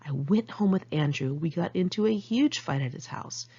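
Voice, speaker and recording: A woman's voice: fairly low pitch, lively intonation, moderate pace, mellow, slightly rough, dull audio. An American woman reading her own memoir aloud in a candid, conversational, sardonic way. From United States, Los Angeles area. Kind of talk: first-person memoir narration, read aloud in one take.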